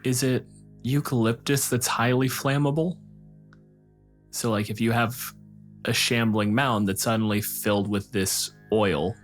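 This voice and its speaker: A man's voice: medium pitch, steady tone, measured pace, faint music behind. A man co-hosting a casual panel talk, explaining and arguing his points in a dry manner. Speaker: podcast co-host discussing tabletop game monsters.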